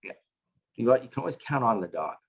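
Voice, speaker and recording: A middle-aged man, a chaplain, teaching a casual scripture class over a video call, halting with lots of filler sounds and dropping wry asides. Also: mid-range voice, big pitch swings, husky, highs cut off. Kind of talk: casual online scripture lesson. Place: home with dogs in another room.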